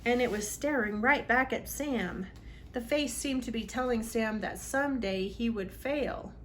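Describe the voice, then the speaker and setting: A woman's voice: on the high side, a little slow, slight background noise. A woman reading a storybook aloud for children in a dramatic, emphatic way. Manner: dramatic, emphatic on exciting moments. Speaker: read-aloud host for children.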